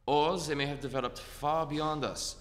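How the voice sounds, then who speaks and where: A man playing an uneasy, fatalistic, philosophical space scientist, measured and wry, in a staged old-time radio drama. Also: fairly high pitch, fairly even intonation, slight background noise, close to an everyday voice. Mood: musing. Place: theatre stage in live performance. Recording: hall reverb, stage recording.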